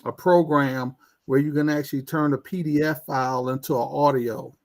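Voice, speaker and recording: A man's voice: on the high side, steady tone, normal speed. A man talking as a guest in a casual online chat, stammering with many restarts. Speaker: guest IT professional.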